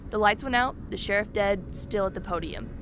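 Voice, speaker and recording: A woman's voice: mid-range voice, a little fast, natural voice. Chatty young-sounding podcaster played by a woman, noisy background. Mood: chatty, intrigued. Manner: informal, chatty storytelling. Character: chatty, curious female true-crime podcaster on tour. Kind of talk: conversational podcast narration in a radio play.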